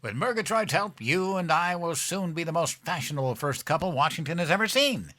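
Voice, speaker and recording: An actor playing a childish, guileless older American president and doting husband in an audio sitcom, earnest and excitable. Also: male voice, fairly high pitch, brisk. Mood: pleased, eager.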